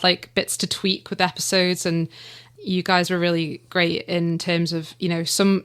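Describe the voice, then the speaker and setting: Young manx woman chatting on radio, kinda low voice, lots of ums and likes. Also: a bit bright. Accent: Manx English. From Isle of Man. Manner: chatty, hesitant, many fillers and laughs. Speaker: writer and director of an audio drama, guest. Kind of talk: casual interview chat.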